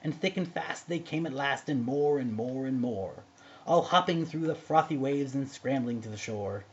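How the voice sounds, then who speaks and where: A man reading a narrative poem aloud as an expressive amateur storyteller. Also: mid-range voice, moderate pace, muffled recording. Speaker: amateur poetry reader.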